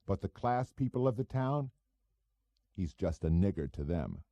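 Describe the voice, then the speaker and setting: A man's voice: low voice, very animated, a little slow, mellow, no room sound, voice pushed up, heavily acted. A man playing a sneering, talkative, cynical wise-guy operative with a cocky, slangy manner in an audiobook. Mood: cynical, boastful.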